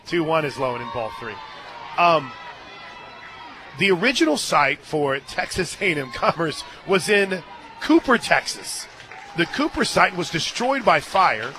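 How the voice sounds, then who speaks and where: An American man calling a softball game on radio, chatting in a folksy, conversational way and punching up each pitch. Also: very animated, measured pace. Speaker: play-by-play announcer. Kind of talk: live softball radio commentary with casual banter. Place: stadium radio booth. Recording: outdoor stadium crowd noise behind broadcast.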